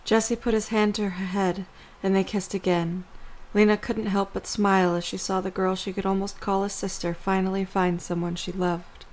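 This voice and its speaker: A woman's voice: medium pitch, poor audio. A woman reading scripted story narration as the narrator of an audio drama.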